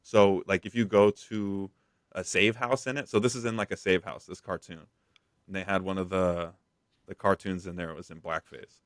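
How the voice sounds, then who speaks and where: A man's voice: deep voice, fairly even intonation, moderate pace, no room sound, clean studio sound. A man co-hosting a podcast, talking through gaming news in a casual, slangy, rambling way. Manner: rambling, slangy, frequent "like" and "dude". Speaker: podcast co-host.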